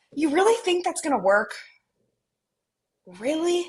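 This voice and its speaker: Woman acting millennial twin, very high voice, mystical, flighty, defensive, dramatic, romcom table read. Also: full character voice. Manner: dramatic, exclamatory, quippy delivery.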